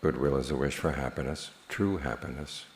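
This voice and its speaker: A man teaching a guided meditation in a measured, plain-spoken manner, leaving long pauses between phrases. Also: a bass voice, a little hiss or hum.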